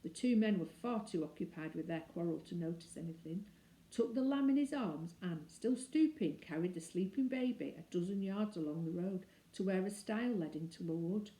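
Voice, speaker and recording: A woman with a soft, mellow voice reads a children's storybook aloud. She is expressive and leans into the drama of the tale. The room adds a clear echo around her words. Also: lowish voice, moderate pace. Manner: animated, dramatizes dialogue with shifting emphasis. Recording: roomy, echoing indoor sound.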